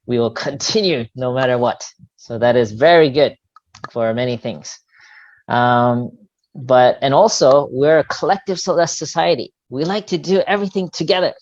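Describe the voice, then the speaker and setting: A middle-aged man from New York, born in Japan, giving earnest, halting opening remarks as a religious leader. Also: mid-range voice. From born in Japan, grew up in New York. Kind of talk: earnest informal speech to a congregation. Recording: dull, lo-fi sound.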